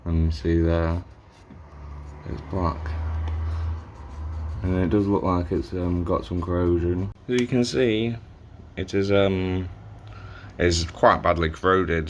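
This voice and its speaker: Low-pitched man, casual repair host. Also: a little hiss or hum. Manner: informal, halting, thinking aloud while working. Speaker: host of a tape deck repair video. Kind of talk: casual hands-on repair narration.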